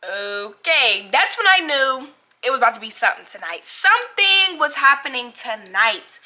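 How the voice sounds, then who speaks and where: A young woman with a high, bright voice tells a story straight to her viewers. Her delivery is casual and slangy, with dramatic emphasis as she plays out who said what. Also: big pitch swings, moderate pace. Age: young adult. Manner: dramatic, slangy, acts out dialogue. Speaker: storytime vlogger.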